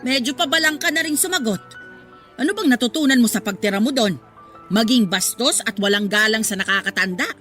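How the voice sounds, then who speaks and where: Nosy older village gossip. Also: female voice, fairly high pitch, lively intonation, brisk, fairly bright tone. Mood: scolding. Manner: prying, falsely concerned. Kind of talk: Tagalog radio drama acting. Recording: background music under dialogue.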